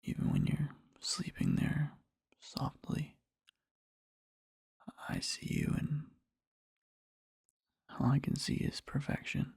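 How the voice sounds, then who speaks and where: A man playing an adoring boyfriend in a soft ASMR roleplay, murmuring slowly and tenderly to a sleeping partner in a breathy, husky whisper. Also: medium pitch, huge pitch swings, dry recording, clean studio sound, exaggerated voice. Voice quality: breathy, husky, with creaky fry. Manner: slow, halting, tender murmuring.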